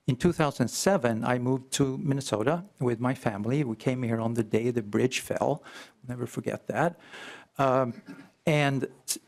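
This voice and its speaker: A middle-aged man from Iceland, raised in Sweden, giving measured testimony at a legislative hearing as a company co-founder, with a few fillers and restarts. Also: medium pitch, darkish tone. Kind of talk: committee testimony.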